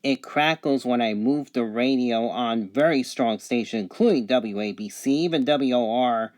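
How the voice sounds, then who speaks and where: A man's voice: medium pitch, moderate pace, a little mellow. A man talking casually and matter-of-factly through a product demonstration, spelling out letters and numbers carefully. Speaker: product reviewer. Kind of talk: casual radio review demonstration.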